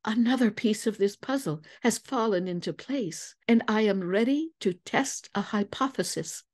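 A woman's voice: medium pitch, moderate pace, darkish tone, pitched above the performer's own voice, lightly acted. A woman voicing a confident, clever young woman detective in an audiobook story, articulate, exclamatory and commanding. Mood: bright, confident.

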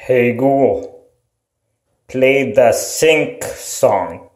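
A man in a small bathroom, slowly and flatly giving voice commands. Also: mid-range voice, soft background music. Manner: slow, flat, deliberate commands. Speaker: video creator. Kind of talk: repeated voice commands. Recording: echoey bathroom.

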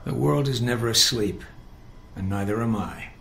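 An actor playing a cold, condescending older intelligence handler in a video game, speaking in clipped, precise, superior phrases. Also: male voice, on the low side, moderate pace, creaky, a bit bright, a little hiss or hum. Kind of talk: video game dialogue acting. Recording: roomy echo with music underneath.

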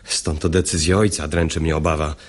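An earnest young man in a radio verse comedy. His voice is deep and rough-edged, his delivery declamatory and rebellious. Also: level tone, fairly quick. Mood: anxious, earnest. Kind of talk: classic stage comedy radio acting in verse.

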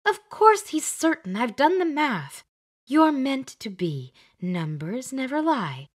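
A woman voicing a fussy, chattering older witch who plays matchmaker, bossy and brisk in an audiobook. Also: high-pitched, big pitch swings, voice pushed up, exaggerated voice. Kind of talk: audiobook character voice.

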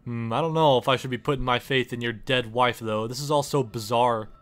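A man's voice: medium pitch, steady tone, a little fast, fairly bright tone. A man doing comedic parody dubbing of a game, sarcastic, exasperated and sweary. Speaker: parody series creator voicing the player character. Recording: voice over game music.

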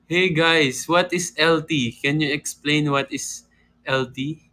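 A young man talks casually into a close phone camera at home. His voice sits high and his pace is very slow and drawn out. He is playful with his viewers and slips into bits of song. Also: fairly even intonation, bright, forceful tone. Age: young adult. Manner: playful, reads comments, breaks into sung lines. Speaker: livestream host. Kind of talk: casual livestream chat with singing. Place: home room indoors.

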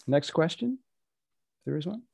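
Man, session host, raspy fry, dry sound, moderating tone. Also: a little high, expressive, unhurried, darkish tone, no echo. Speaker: host of dramatic readings session. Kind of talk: moderating a panel. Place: indoor room on a group video call.

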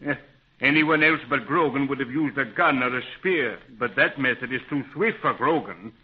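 An actor playing a loyal, scrappy, folksy adventurer in a 1930s radio adventure serial. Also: male voice, mid-range voice, moderate pace, slightly rough, natural voice. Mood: curious. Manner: folksy, plain-spoken. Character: Pat, a loyal, scrappy grown man, adventurer ally. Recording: muffled, phone-like 1930s radio recording.

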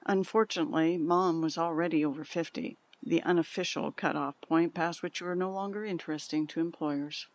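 A woman playing a frail elderly mother who recalls a hard past frankly and deliberately in an audio drama. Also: low-pitched, fairly even intonation, no room sound. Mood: reflective. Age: older.

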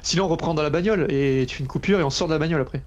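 A man's voice: a little high, rapid-fire, dull audio. A man chatting casually with friends over game voice chat. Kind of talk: casual gaming banter. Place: voice chat during an online game.

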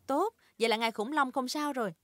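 Woman voicing a high-voiced motherly mummy pig. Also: fast, slight background noise, close to an everyday voice. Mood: practical. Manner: motherly, clear instructions. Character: caring, sensible mummy pig. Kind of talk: children's cartoon dub. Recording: loud background sound effects.

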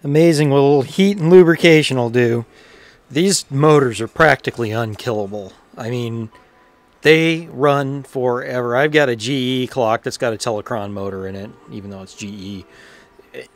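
A man giving casual, hands-on repair commentary in an informal, unhurried way, thinking aloud as he works. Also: medium pitch, faint music behind. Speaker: repairer presenting his own workshop video.

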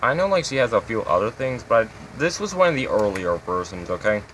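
A man's voice: lowish voice, sing-song, a little fast, a bit bright, slight background noise, rough recording. A man hosting his own game channel, giving a casual, rambling tour of his builds with lots of fillers.

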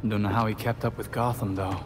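A man's voice: fairly low pitch, little pitch movement, a little fast, noisy background, natural voice. An actor playing an earnest young male vigilante hero, self-doubting but dogged, in a video game cutscene. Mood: subdued, self-doubting.

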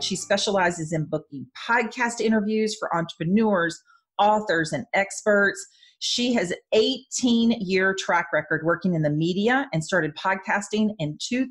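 A woman's voice: medium pitch, faint music behind. Chatty Southern American woman host. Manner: chatty, enthusiastic, quick asides. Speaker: podcast host. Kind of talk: casual interview chat.

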